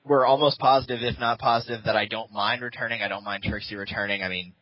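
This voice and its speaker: A man's voice: on the low side, steady tone, quick pace. Man rambling on a dull call line. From Texas. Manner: rambling, hesitant, many fillers. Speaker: podcast panelist. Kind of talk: casual group chat over a call. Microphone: voice call mic. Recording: dull lo-fi call audio, dry.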